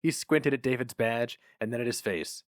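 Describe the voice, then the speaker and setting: A man narrates fiction in a dramatic, scripted reading style. His voice is mid-pitched. The recording is very dry, without room sound. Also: normal speed, dry recording.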